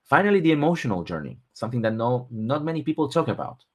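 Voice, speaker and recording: A man, hosting a webinar and working through lists point by point with pauses between items. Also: mid-range voice. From Argentina. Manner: steady, list-like delivery with pauses between items. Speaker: webinar host and relocation consultant. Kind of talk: presenting a webinar.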